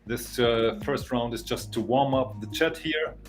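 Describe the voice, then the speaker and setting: A man hosts a casual live stream. His mid-pitched voice moves haltingly, with repeated words, over faint music. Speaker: live show host.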